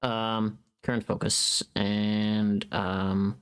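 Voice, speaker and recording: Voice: medium pitch, flat delivery, extremely slow, clear, resonant tone. A livestreamer chatting casually and thinking aloud, playful and rambling, with some unfiltered swearing. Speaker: livestreamer and voice pack creator.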